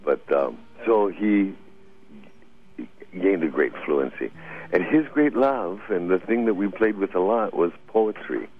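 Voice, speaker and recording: A man's voice: low-pitched, animated, a little slow, slightly rough. An older man, a radio guest telling rambling stories with frequent laughs, heard over a phone line. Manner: rambling, anecdotal, laughs often. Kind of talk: radio interview storytelling.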